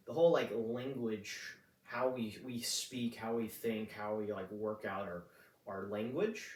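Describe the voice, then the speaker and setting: A man in his twenties, talking in a rambling casual monologue full of fillers and restarts. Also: mid-range voice. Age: 20s. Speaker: vlogger. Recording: roomy echo, distant mic.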